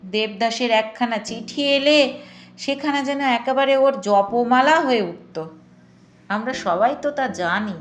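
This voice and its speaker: A woman's voice: fairly high pitch, takes it slowly. A woman voicing an elderly village grandmother, deferential, hesitant and coaxing in a dramatized story reading. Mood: fond, earnest. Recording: echoing room or reverb.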